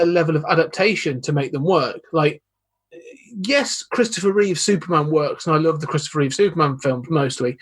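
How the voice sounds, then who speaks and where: Husky man, chatty podcaster. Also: a little high. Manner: rambling, animated, many restarts. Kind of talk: casual podcast chat.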